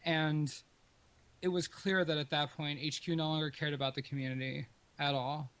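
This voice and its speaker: A man's voice: fairly high pitch, little pitch movement, moderate pace, fairly bright tone, smooth. A man arguing informally in a firm, emphatic, accusatory way. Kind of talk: informal argument.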